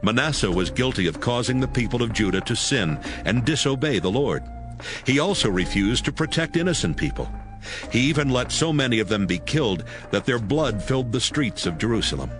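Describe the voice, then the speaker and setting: A man narrating scripture from a script in a measured storytelling manner. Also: medium pitch, big pitch swings. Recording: music bed behind narration.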